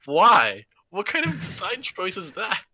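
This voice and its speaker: A man's voice: high-pitched, wildly swooping pitch, a little slow, sharp tone, breathy edge, dry recording. A man chatting casually and animatedly as a podcast panelist.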